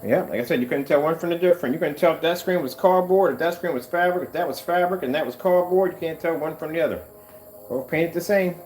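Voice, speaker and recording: A middle-aged man chatting casually while working in a workshop, wandering off topic with animated bursts. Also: on the high side, fast, slight background noise, rough recording. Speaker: screen paint maker.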